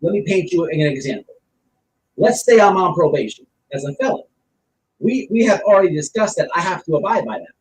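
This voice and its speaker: High-pitched, animated man in a roomy space. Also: fairly quick. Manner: blunt, emphatic, explains with repeated phrases and examples. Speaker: online streamer giving commentary. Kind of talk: casual opinionated commentary. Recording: roomy, distant mic with faint noise.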